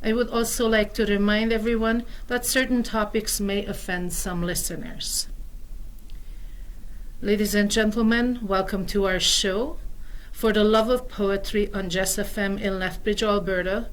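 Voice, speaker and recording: A woman's voice: mid-range voice, fairly even intonation, rough recording. A middle-aged woman from Hungary with a Hungarian accent, hosting a community radio show and reading a prepared introduction. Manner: slow, careful, deliberate delivery. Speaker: host.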